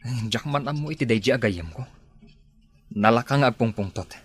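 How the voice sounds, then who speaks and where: A man's voice: mid-range voice, fairly quick, slightly rough, natural voice. An actor playing a chatty, concerned man of the household in a radio drama. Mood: gossipy, concerned.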